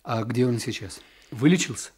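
An actor playing an earnest, inquisitive official in a radio drama, speaking in a measured, educated way. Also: male voice, mid-range voice, a little mellow, husky, lightly acted. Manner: measured, educated, probing questions. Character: earnest, inquisitive male official, new district chief. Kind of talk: Soviet radio drama acting.

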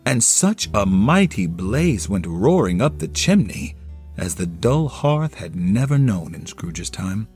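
A man narrating a classic story as a solo audio play, with theatrical, deliberate phrasing. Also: on the low side, big pitch swings, darkish tone. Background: music under the voice.

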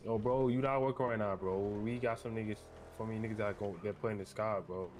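A man's voice: on the low side, steady tone, fairly quick, a little mellow. A man bantering casually in slang and repeating himself during a game roleplay. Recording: game chat with faint car noise.

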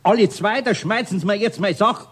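A man's voice: high-pitched, brisk, a bit bright, husky. An actor playing a good-natured, grumbling middle-aged master craftsman with a Bavarian accent in a radio play. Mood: exasperated. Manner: hesitant, grumbling. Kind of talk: 1970s German radio play.

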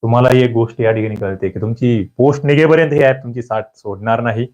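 A man teaching an exam prep lecture, quizzing listeners with lively rhetorical questions. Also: medium pitch, fast. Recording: dull lo-fi audio.

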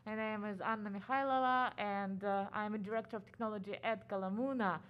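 A woman from Ukraine with a Ukrainian accent, giving a conference talk quickly with many "uh" fillers, her voice muffled by a face mask. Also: medium pitch, fairly even intonation. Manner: fast-talking, frequent "uh" fillers. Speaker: conference speaker. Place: conference room.

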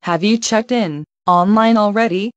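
A woman's voice: mid-range voice, measured pace, no room sound, muffled recording. Woman reading English phrases, dry sound. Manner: careful, evenly paced, each phrase read alone. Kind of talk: slow clear phrase repetition for learners.

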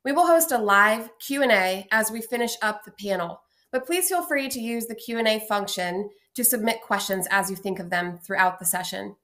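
A woman, an executive director, giving a measured, scripted welcome address in a recorded video. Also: mid-range voice, lively intonation, fairly bright tone. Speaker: executive director, opening speaker. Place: indoor room.

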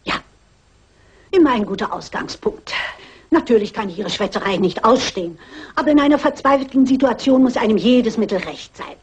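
An older actress playing a shrewd, stubborn elderly lady sleuth in a crime radio play, brisk, dignified and self-assured. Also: fairly high pitch, expressive, husky, muffled recording. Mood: determined.

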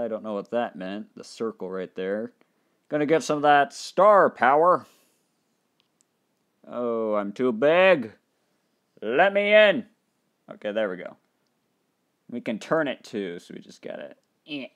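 Animated young man thinking aloud. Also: medium pitch. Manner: animated, thinking aloud, jumps into loud excited outbursts. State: dry mouth, voice getting tired. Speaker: gaming channel host. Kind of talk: casual let's play commentary.

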